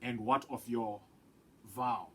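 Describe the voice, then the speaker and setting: A man voicing a wise, elderly Indian grandfather in a storybook, speaking in a measured, emphatic, instructive way. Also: medium pitch, sharp tone, slight background noise. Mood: firm, questioning.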